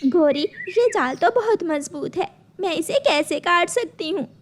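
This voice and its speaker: Woman as a shrill, urgent little cartoon bird. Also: expressive, a little slow, slight background noise. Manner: pleading, urgent. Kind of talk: children's cartoon dialogue.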